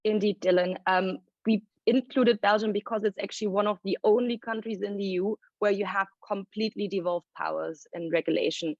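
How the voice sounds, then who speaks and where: A woman presenting findings as a report author at a panel launch, explaining at length and thinking aloud with pauses. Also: medium pitch, fairly even intonation, a little mellow, dry recording. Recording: dry, dull lo-fi sound.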